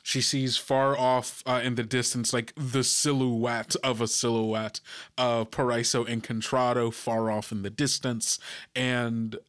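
A man working as game master, narrating a tabletop adventure in halting, deliberate phrases. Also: medium pitch, level tone, a bit bright, clean tone.

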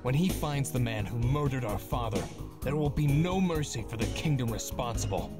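A man's voice: medium pitch, expressive, moderate pace, lightly acted. A man voicing a cool, bitter, vengeful thief in a video game, sardonic and menacing. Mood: grim. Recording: loud music behind the voice.

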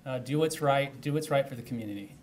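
A man's voice: medium pitch, fast, slight background noise. A man, a county official, earnestly urging the public at a press conference and restarting phrases as he speaks into podium microphones. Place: indoor press room at a podium.

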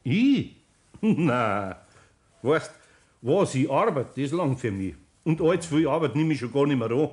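A man's voice: on the high side, big pitch swings, unhurried, a little mellow. An older man with a Bavarian accent playing a good-natured master carpenter in a 1960s German radio play, homely and hesitant. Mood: reluctant.

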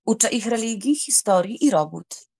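A woman playing a gentle, reserved and laconic young gentlewoman in a dramatised audiobook. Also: a little high, animated, moderate pace, gravelly. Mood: calm, reserved.

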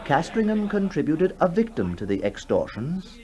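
A man narrating a ghost story for 1960s radio in a measured storytelling manner with deliberate pauses. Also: a little high, big pitch swings, darkish tone, muffled recording, some chatter behind. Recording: 1960s radio broadcast with music behind.

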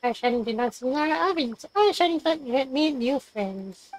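A woman plays a game and talks along, reading dialogue out loud. Her voice is a little high and swings widely in pitch, and she takes her time with each phrase. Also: lively intonation, slow pace, rough recording. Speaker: streamer reading game dialogue aloud and reacting. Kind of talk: casual gameplay commentary and read-along.

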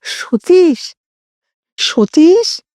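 Horror audio story role: scared, jittery man played by a woman, high voice, urgent and fearful. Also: sing-song, very slow, mellow, no echo, studio quality, full character voice. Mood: alarmed calling. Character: frightened young man, jumpy ghost-story protagonist.

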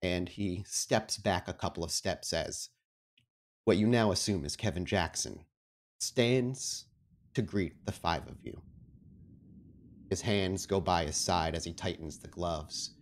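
A man working as game master, narrating a tabletop game in halting, deliberate phrases. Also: low voice.

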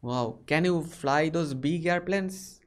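A man's voice: on the high side, slow. A young man from India with an Indian English accent, chatting casually with strangers on a webcam and asking many short questions. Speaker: video chat host. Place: small bedroom.

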